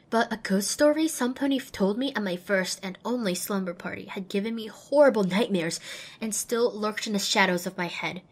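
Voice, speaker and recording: Woman voicing little outcast pony girl telling her story, slightly raspy, normal pitch, anxious and expressive, audio drama. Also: lightly acted. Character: small young pony mare, anxious lonely outcast, narrating. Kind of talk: first-person dramatic audiobook narration.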